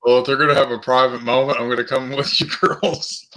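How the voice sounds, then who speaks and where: Man, mid pitch, bright forward voice, casual tabletop gaming talk. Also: little pitch movement, a little fast. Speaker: player in a tabletop role-playing game. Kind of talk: casual chat, describing character actions.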